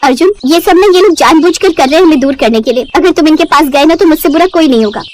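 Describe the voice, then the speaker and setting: Haughty young city rival, played by a woman: high, snappish, possessive and dismissive, for cartoon melodrama. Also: quick pace, a little mellow, slight background noise, natural voice. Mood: possessive anger.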